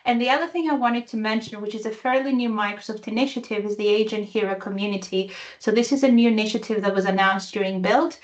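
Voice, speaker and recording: A woman's voice: mid-range voice, fairly even intonation, fast talker. Eager woman speaker with a Greek-Italian accent, chatty online presenter. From Greece and Italy. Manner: enthusiastic, chatty, many um fillers. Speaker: guest speaker. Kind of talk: virtual user group presentation.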